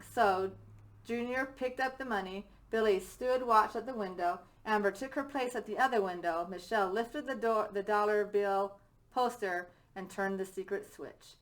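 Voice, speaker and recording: A woman's voice: mid-range voice, rough recording. A woman teacher reading a children's story aloud as a narrator.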